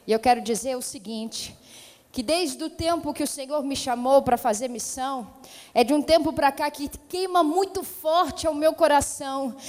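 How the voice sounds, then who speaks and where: A high-pitched woman with a São Paulo accent preaches as a missionary in a fervent Pentecostal style. She moves from calm greetings to loud, urgent exhortation. Her voice rings through a church hall with a long reverb. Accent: São Paulo (paulista). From São Paulo state, Brazil. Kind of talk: Pentecostal sermon.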